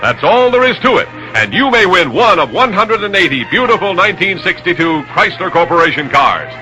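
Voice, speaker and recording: A man's voice: sits high, very animated, fairly quick, bright, forceful tone, highs cut off. An American man reading a scripted 1960s radio commercial as an announcer. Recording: vintage 1961 radio recording. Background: music.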